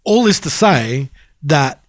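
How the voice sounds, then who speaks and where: A man in his forties co-hosting a podcast, agreeable and chatty, quick with affirmations. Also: on the high side, very animated, no echo, clean studio sound. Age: 40s. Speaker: podcast co-host. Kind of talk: casual chat.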